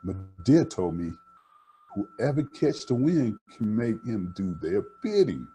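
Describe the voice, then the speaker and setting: A man voicing an eager, determined young country girl from a storybook, with excited, folksy phrasing. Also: fairly low pitch, slow pace, soft background music.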